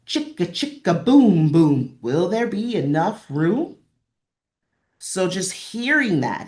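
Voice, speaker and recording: A woman presenting an instructional webinar, explaining in an encouraging way and repeating phrases for emphasis. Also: fairly low pitch. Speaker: presenter of a librarian training session. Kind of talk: instructional webinar talk with examples.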